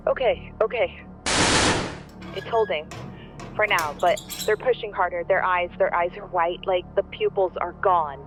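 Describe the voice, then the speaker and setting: A woman's voice: on the low side, measured pace, muffled recording, music in the background, close to an everyday voice. Woman acting a panicky, breathless night nurse. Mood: terrified. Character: terrified female night nurse trapped in a care home. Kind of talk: modern horror audio drama acting.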